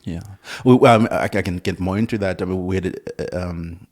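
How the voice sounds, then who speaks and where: A man in his thirties from Zimbabwe, a conductor and podcast guest, who talks in halting restarts and laughs often. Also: sits low. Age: 30s. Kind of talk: casual interview conversation. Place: conductor's room in a music building, indoors.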